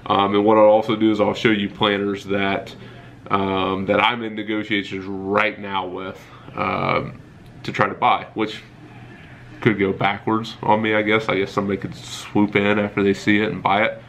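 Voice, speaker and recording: A man's voice: lowish voice, flat delivery, normal speed, fairly bright tone, slight background noise. A young man with a cold and a stuffy nose, casually walking viewers through his thinking as a farmer vlogger, with lots of ums and self-corrections. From Midwestern United States. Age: young adult. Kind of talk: casual walkthrough talk to viewers.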